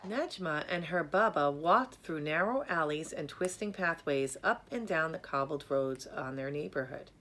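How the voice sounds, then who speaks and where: Lady reading a kids storybook out loud, lowish voice, measured and expressive. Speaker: storybook reader. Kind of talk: scripted narration, children's story read aloud.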